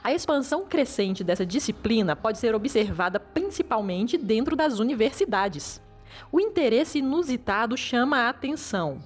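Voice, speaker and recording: A woman teacher reading a formal, measured educational narration, heard as played-back video audio. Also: on the high side. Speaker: language teacher.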